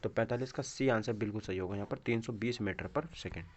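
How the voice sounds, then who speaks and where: A man's voice: on the low side, fast. A man teaching in an instructional style, going through quiz questions and repeating answers with short check questions. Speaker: exam coaching teacher. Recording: dull, lo-fi microphone sound.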